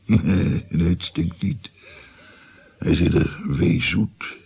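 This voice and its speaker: A man playing an old grandfather in a radio drama, recounting his dark past with halting, musing delivery and a gravelly, breathy voice. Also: low voice, exaggerated voice. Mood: brooding, reminiscing. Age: older. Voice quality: husky, gravelly, often breathy. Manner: halting, musing, drops to whispers.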